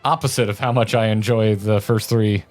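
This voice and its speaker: A man with a fairly low voice gives casual reaction commentary as a gaming co-host. He is enthusiastic and uses plenty of slang. Faint music plays behind his voice. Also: fairly even intonation, moderate pace, a little mellow.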